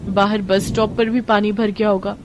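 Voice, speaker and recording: A woman's voice: medium pitch, level tone, moderate pace, noisy background, close to an everyday voice. Earnest young daughter-in-law, played by a woman. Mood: worried. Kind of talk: animated moral story dialogue.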